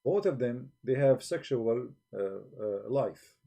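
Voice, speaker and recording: A man's voice: mid-range voice, lively intonation, slow pace, gravelly, warm tone. A man hosting a live stream, challenging and blunt, speaking broken English into a desk microphone. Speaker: livestream host. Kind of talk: argumentative live-stream talk.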